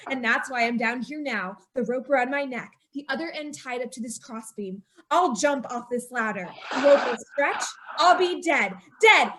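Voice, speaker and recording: A woman narrating her own story in an old-time radio drama, in a tense, haunted voice that climbs to a frantic pitch. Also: noisy background. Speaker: narrator and lead character.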